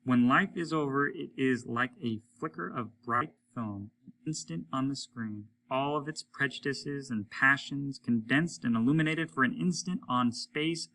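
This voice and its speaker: Male story reader: mid-range voice, very bright and intense, expressive literary delivery. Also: medium pitch, poor audio. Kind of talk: dramatic audiobook narration.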